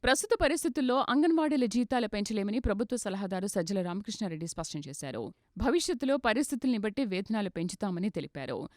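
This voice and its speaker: A woman delivers scripted news in a formal register. She moves quickly through each item at a medium pitch. The sound is very dry, with no room echo. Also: expressive. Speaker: news reader. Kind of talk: scripted news bulletin narration.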